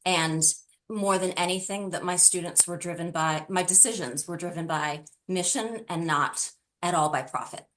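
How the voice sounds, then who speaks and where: Earnest middle-aged woman, slightly low voice. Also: moderate pace, hardly any pauses, a bit bright, a little hiss or hum. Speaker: interview guest, tutoring business founder. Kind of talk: conversational interview answers.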